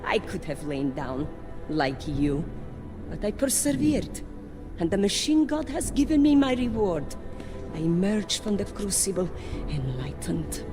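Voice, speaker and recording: An actress playing a fanatical cult high priestess in a video game, theatrical, pompous and reverent. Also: female voice, very animated, a little slow. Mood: outraged, righteous. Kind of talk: cinematic video game acting. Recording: dramatic music behind the voice.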